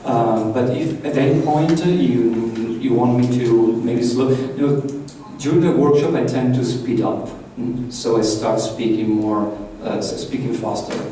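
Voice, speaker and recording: Italian guy running a workshop, mellow voice, chatty, big echoey room, far from the mic. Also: mid-range voice, unhurried, hardly any pauses, slight background noise. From Italy. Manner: chatty, loose, asks the room questions. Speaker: workshop trainer. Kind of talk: live workshop talk to an audience. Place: large echoey conference room.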